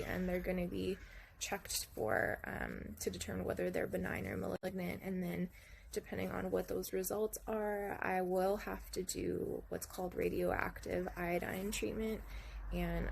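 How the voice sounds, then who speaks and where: A young woman at home giving a soft, halting personal update, her voice hoarse and raspy after surgery, with many pauses and frequent throat-clearing. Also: mid-range voice, slight background noise. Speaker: vlogger sharing her recovery. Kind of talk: casual personal update to camera.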